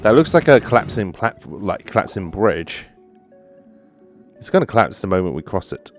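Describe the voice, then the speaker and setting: A man's voice: on the low side, very animated, normal speed. A man hosting a let's play with casual gameplay commentary. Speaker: gaming let's play host. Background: video game music.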